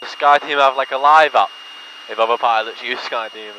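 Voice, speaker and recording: A man's voice: medium pitch, normal speed, bright, forceful tone, lo-fi sound. Male vlogger, casual chat, light aircraft intercom with noticeable hum and radio. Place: small aircraft cockpit in flight. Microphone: aviation headset intercom. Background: engine hum and radio.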